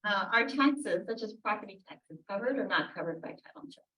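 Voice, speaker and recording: A woman moderating a panel, briskly reading out questions. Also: medium pitch, lively intonation, bright, forceful tone, highs cut off. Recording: echoey room, distant mic.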